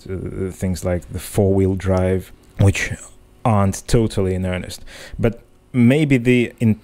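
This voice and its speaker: A man with a mellow, somewhat low voice who speaks English as a second language. He chats on a podcast in a thoughtful, halting way and often restarts his sentences. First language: not English. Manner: thoughtful, hesitant, many restarts. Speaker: podcast co-host. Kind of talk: casual analytic chat.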